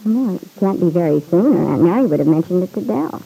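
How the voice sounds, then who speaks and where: Breezy, creaky-voiced roommate in radio drama. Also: female voice, fairly low pitch, lively intonation, fairly quick, mellow, heavily acted, low-quality recording. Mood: casual, chatty. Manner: breezy, folksy, slangy talk. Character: Lily, chatty, plain-spoken, good-natured young woman roommate. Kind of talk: old-time radio serial acting.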